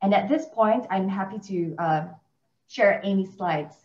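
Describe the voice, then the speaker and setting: A woman moderating an online panel, upbeat and quick to laugh as she introduces the speakers. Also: mid-range voice, a little mellow. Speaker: webinar moderator. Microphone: video call. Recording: echoey room, dull video-call audio.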